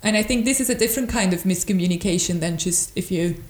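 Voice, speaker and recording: A woman's voice: lowish voice, fast, noisy background. A woman whose first language is German, chatting casually in a thoughtful way and searching for words. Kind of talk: casual chat. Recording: echoey room.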